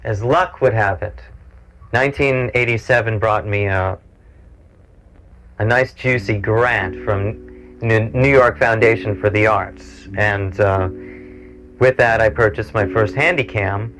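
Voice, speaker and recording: A man in his forties hosting a show, talking informally in a musing, halting, rambling way. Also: on the low side, fairly bright tone, poor audio. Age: 40s. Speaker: cable TV show host and producer. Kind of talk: informal interview talk and reminiscence. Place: small equipment-packed studio. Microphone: clip-on mic.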